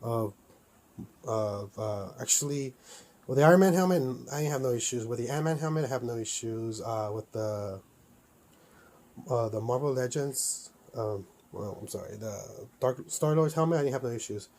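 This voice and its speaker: Low-pitched man, casual unboxing chat. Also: lively intonation, moderate pace, darkish tone. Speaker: toy collector reviewing a helmet.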